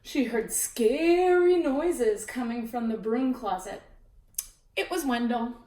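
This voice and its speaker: A woman reading a children's picture book aloud as storyteller, expressive, with chatty asides to her listeners. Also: a little high, takes it slowly. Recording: roomy echo, distant mic.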